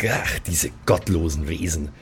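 Male role-play gamer, lowish voice, thoughtful, talking informally in character over faint ambience. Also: unhurried, slight background noise. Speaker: tabletop game player. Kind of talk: casual improvised in-character talk.

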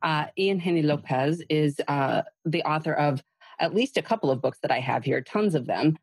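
A woman hosting a podcast interview from a home in Massachusetts over a video call, speaking gently and meanderingly with soft fillers. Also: sits low, moderate pace, breathy edge, no echo. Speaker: podcast host.

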